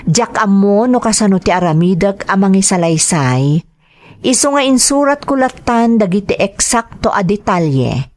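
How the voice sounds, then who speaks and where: A woman's voice: on the low side, a little mellow. A woman hosting a story podcast, reading greetings and listener letters aloud. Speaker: podcast host and story reader.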